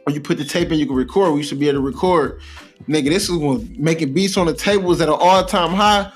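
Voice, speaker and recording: A man's voice: on the high side, fast, breathy edge, faint music behind. A young American man in casual, slangy, profane podcast storytelling, repeating himself for emphasis. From Cleveland, United States. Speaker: podcast co-host.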